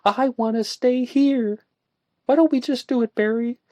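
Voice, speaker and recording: A woman putting on a shrill, tearful young woman. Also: a little slow, mellow, dry recording, close to an everyday voice. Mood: upset. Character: distraught young woman, volatile and pleading.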